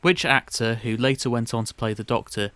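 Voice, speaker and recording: A man's voice: mid-range voice, fairly even intonation, brisk, fairly bright tone, no room sound. A young man co-hosting a podcast, reading out quiz questions and chatting casually. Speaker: podcast co-host and quizmaster.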